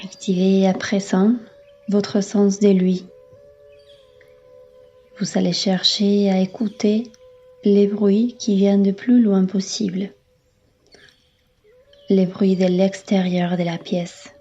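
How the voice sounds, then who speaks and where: A woman yoga instructor leading a guided relaxation in a calm, soothing, unhurried manner with long pauses. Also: mid-range voice, dull audio. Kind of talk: guided meditation, yoga nidra.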